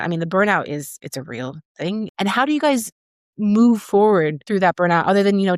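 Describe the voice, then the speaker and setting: A woman podcast host with a slightly low voice. She speaks in a casual, conversational interviewing style, recorded close and dry with no room sound. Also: no echo.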